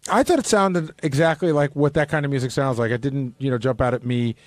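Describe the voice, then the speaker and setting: A man's voice: mid-range voice, brisk, no room sound. A man on a radio panel, chatting casually and putting questions to others. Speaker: show panelist. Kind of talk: casual chat.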